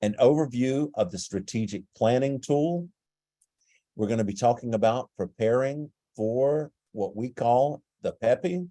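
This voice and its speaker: A man with a stuffy, nasal voice presenting a webinar and explaining things in a steady way over a video call. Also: mid-range voice, unhurried, no room sound.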